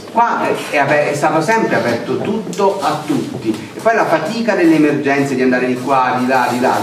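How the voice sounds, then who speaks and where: A man's voice: mid-range voice, noisy background. A middle-aged man speaking off the cuff to a local meeting, listing groups and restarting his sentences as he goes. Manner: gesturing while standing, thinking aloud with restarts and lists. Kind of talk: unscripted address to a meeting. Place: crowded indoor meeting room. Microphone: far camera, no mic. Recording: echoey room heard from far off, crowd murmur.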